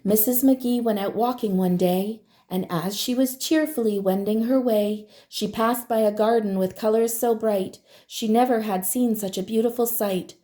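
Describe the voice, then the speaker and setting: A woman teacher reading a picture book aloud to children in a deliberate, expressive storytelling manner. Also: fairly high pitch.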